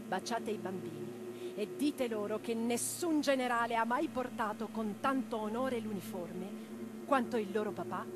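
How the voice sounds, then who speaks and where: A woman playing an imprisoned, defiant revolutionary in a radio theatre monologue, reading her letters with theatrical, deliberate phrasing. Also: a little high, lively intonation. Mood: sombre, tender. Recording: clearly noisy track with mains hum.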